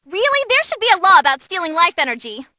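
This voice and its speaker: An actress voicing an excitable, cheeky young female ring announcer, giving animated, theatrical fight commentary in a video game. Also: very high voice, quick pace, no room sound, studio quality, full character voice. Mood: excited commentating. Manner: perky, theatrical, wisecracking. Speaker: match commentator.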